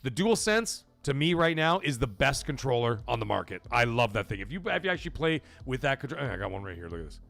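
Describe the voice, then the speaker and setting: A man hosting a gaming livestream, reading super chats aloud and giving emphatic, profane opinions, swinging loud for donation shout-outs. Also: medium pitch, very animated, fast, creaky, few pauses. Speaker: livestream host.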